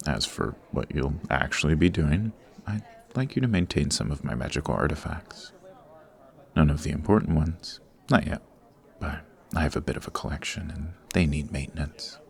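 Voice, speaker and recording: A man playing a suave, formal supervillain boss in an ASMR roleplay, patient and exacting, with measured, instructive speech. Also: extremely low pitch, vocal fry. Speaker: voice actor.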